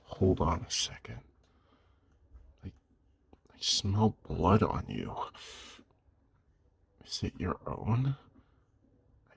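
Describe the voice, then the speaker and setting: Man acting a very deep, gravelly werewolf. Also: exaggerated voice. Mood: concerned. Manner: gruff, hesitant, gently protective. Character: gruff but caring male werewolf living in the woods. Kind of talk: roleplay audio acting, addressed to the listener.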